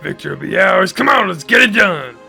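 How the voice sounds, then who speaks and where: A man voicing a boisterous, proud wrestler from a video game, booming and showboating. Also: lively intonation, a little fast, vocal fry, over music, exaggerated voice. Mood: boastful, pumped. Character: boisterous, showy masked male wrestler, proud of his strength. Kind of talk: video game character reel.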